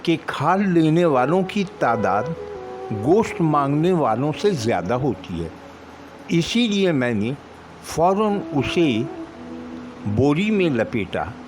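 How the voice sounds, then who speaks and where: Slow, expressive male storyteller over music. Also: fairly high pitch. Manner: measured, deliberate, pausing between short phrases. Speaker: storyteller reading own short stories. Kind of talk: dramatic Urdu short-story narration. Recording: music behind the voice.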